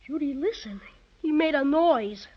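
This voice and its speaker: An actor playing an eager, bold, excitable young boy in a 1930s children's radio serial, full of old-fashioned exclamations. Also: high voice, a little slow. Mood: insistent, bold. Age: child character. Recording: muffled 1930s radio transcription.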